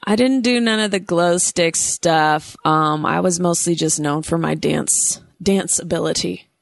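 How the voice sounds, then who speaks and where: A woman's voice: lowish voice, a little slow, gravelly, no echo, studio quality. An American woman in her thirties hosting a show and chatting in a playful, bubbly, goofy way. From United States. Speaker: host. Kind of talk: casual chat.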